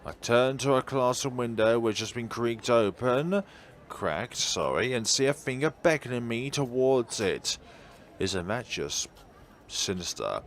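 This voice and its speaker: A man's voice: mid-range voice, expressive, unhurried, slight background noise. A man reading a visual novel aloud theatrically as a game streamer, slipping out of the story for asides and self-corrections.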